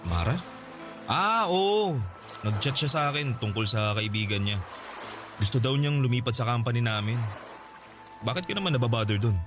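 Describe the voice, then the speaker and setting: A man's voice: mid-range voice, sing-song, moderate pace, pauses often, natural voice. A man playing a casual, slightly defensive young boyfriend in a radio drama, with a Filipino accent. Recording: music behind studio dialogue.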